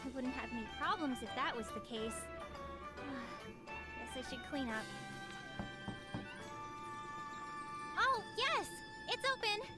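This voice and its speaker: A woman voicing a cheerful, clumsy teenage apprentice alchemist in an anime-style game, expressive and earnest. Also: high voice, a little slow, full character voice. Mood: wistful, then bright, surprised. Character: cheerful, clumsy sixteen-year-old girl apprentice alchemist. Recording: game music playing behind the voice.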